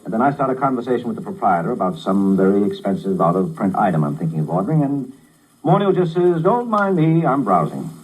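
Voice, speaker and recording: An actor playing a wry, tolerant struggling writer, narrating and talking in a dry conversational way in a 1950s radio drama. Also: male voice, fairly low pitch, sing-song, quick pace, slightly rough, low-quality recording. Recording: old 1950s radio broadcast.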